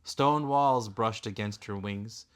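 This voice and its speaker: A man's voice: fairly low pitch, takes it slowly, smooth. A man reading a children's fantasy storybook aloud as narrator. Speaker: father reading a bedtime story.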